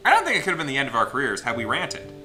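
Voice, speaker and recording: A man's voice: mid-range voice, huge pitch swings, racing, poor audio. A man hosting a group let's play, reading a visual novel aloud theatrically and breaking off for casual joking asides. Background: game music.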